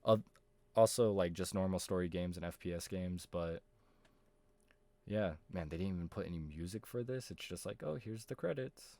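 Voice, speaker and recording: A man's voice: low-pitched, moderate pace, a little mellow, no room sound. A man giving casual, rambling gaming commentary in slangy, informal speech. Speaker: gaming video host.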